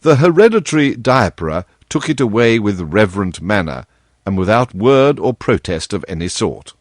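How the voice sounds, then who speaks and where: A man reading scripted storybook narration as an audiobook narrator, expressive and measured. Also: fairly low pitch, no room sound, studio quality.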